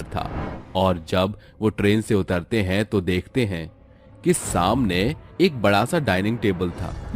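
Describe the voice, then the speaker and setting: A man reading scripted narration for a horror cartoon, with music behind his voice. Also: low-pitched.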